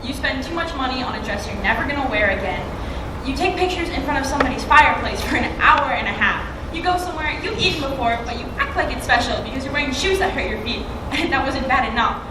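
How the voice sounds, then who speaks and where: Echoey noisy school play, young woman as sarcastic outspoken teen girl, high voice. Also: animated, fast talker. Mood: sardonic. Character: sardonic, outspoken teenage girl. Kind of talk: stage acting in a school play. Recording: echoey, distant, noisy stage recording.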